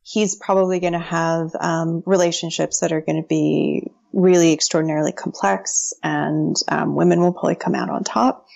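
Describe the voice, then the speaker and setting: A woman's voice: low voice, fairly even intonation, moderate pace, creaky, hardly any pauses, a little mellow, dull audio. A woman in her thirties from the United States, a guest on a podcast interview, chatty and often laughing.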